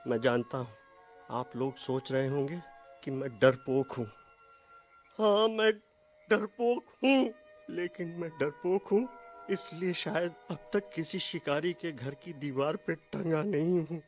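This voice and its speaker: A man voicing a timid, faltering grown tiger in an animated film. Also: fairly high pitch, a little slow, faint music behind, natural voice. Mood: sombre confession. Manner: faltering, stammers when frightened. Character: timid adult male tiger living as a cat.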